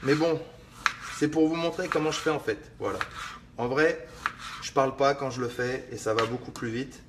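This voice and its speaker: A man's voice: mid-range voice, moderate pace, background noise. A young man hosting a casual live stream, joking and rambling to his viewers as he cuts lemons. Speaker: fitness livestream host. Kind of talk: casual live cooking demo.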